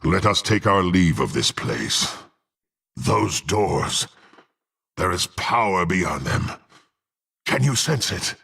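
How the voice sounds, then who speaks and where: A brutal half-orc warrior from a fantasy game, contemptuous and hungry for power. The gravelly voice moves slowly but swings widely, dripping with haughty menace. The phrasing is archaic and formal. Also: male voice, low-pitched, sing-song, bright, forceful tone, full character voice. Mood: brooding. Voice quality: gruff, gravelly. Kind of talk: dramatic fantasy game voice acting.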